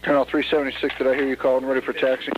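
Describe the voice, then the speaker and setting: A man working as an air traffic controller, giving clipped, procedural clearances over radio in a businesslike, polite way. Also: medium pitch, completely flat delivery, very fast. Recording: noisy narrowband radio channel with static clicks.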